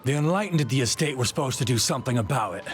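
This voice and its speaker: An actor playing a brusque, impatient city gate guard in a video game, curtly turning people away. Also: male voice, medium pitch, lively intonation, very fast, soft background music, lightly acted. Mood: curt, warning. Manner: curt, impatient. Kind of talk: video game dialogue acting.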